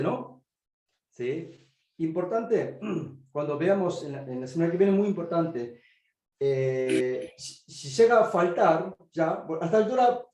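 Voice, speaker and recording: A man's voice: a little high, darkish tone, reverberant. A man with a Korean accent, speaking Spanish as a second language, teaches a grammar class and keeps checking that students follow along. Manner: checks understanding with frequent "¿sí?". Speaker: teacher of biblical Greek. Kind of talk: online class lecture.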